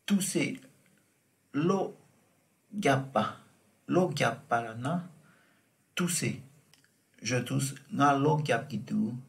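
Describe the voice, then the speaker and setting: A man teaching French vocabulary, slow and deliberate, repeating each word and example as he explains it. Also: mid-range voice, lively intonation, vocal fry. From Tibet. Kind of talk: vocabulary lesson explained in Tibetan.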